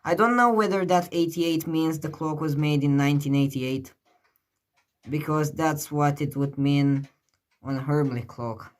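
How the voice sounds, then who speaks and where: Amateur collector, unhurried and mid-pitched, informal show-and-tell delivery, hesitant and thinking out loud. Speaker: clock collector presenting a clock. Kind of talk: casual hands-on demonstration. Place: indoors beside a ticking clock.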